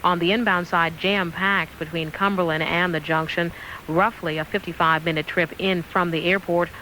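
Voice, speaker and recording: A woman's voice: fairly low pitch, steady tone, normal speed, pushed and bright, poor audio. An American woman giving a radio traffic report.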